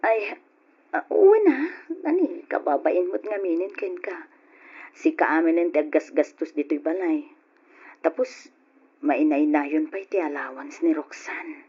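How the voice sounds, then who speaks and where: A woman's voice: deep voice, expressive, unhurried, pauses often, dark tone, breathy edge, full character voice. A woman playing a pleading, determined young Filipino wife. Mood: resentful, hurt. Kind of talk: old-fashioned Ilocano radio drama acting. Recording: dull lo-fi old radio drama.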